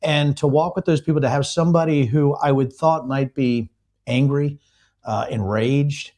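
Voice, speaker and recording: Male guest, rambling anecdotes full of ums and restarts, mid-pitched. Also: steady tone, dark tone. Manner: rambling storyteller, halting with fillers. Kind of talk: live remote interview.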